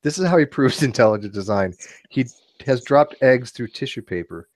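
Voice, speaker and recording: A man's voice: medium pitch, normal speed, dry recording. A man hosting a casual late-night online hangout, tired and often laughing as he tells stories. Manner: laughs often, animated storytelling. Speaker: host.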